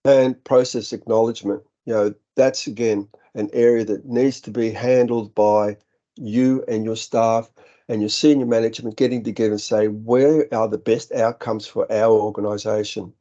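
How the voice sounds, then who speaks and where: A man's voice: lowish voice, steady tone, moderate pace, mellow. A middle-aged Australian man giving an earnest webinar presentation, explaining points with frequent ums and restarts. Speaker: business director presenting a webinar. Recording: dull, slightly lo-fi webinar audio.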